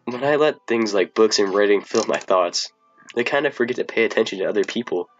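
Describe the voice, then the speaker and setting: A shy, bookish teenage girl, hushed and earnest, as a man with a cold reads her in a quiet voice. The sound is dull and lo-fi, with faint music underneath. She speaks softly and carefully. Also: fairly low pitch, brisk, close to an everyday voice. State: sick.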